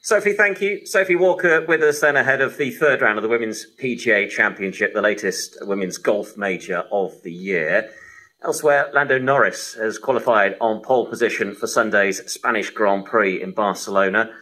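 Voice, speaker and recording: Bright, forceful male sports radio presenter. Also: fairly low pitch, normal speed, rough recording. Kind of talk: live sports news presenting. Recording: shortwave radio broadcast reception.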